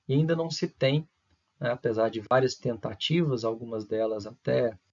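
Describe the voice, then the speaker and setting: A man's voice: medium pitch, moderate pace, highs cut off. A man from in Brazil, lecturing to students in a hesitant way, with many fillers, restarts and tag questions. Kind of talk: recorded university lecture.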